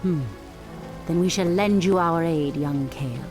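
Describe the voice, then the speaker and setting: An actress playing a brave, compassionate night elf priestess and leader in a fantasy video game, formal and commanding. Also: female voice, lowish voice, a little slow, music in the background, natural voice. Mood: calm, caring. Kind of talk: dramatic video game cutscene acting.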